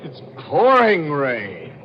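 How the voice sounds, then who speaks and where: A man's voice: on the high side, wildly swooping pitch, slow, slight background noise, exaggerated voice. A cornered suspect in a 1940s radio thriller, agitated and pleading. His voice swings widely yet takes its time, on a dull old recording. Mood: agitated disbelief. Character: panicked cornered murder suspect, insisting and bewildered.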